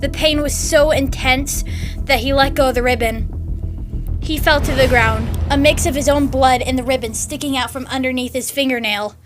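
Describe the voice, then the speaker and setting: A woman's voice: sits high, steady tone, normal speed, over music. A woman hosting a casual reading of fan fiction, giggly and adding amused asides.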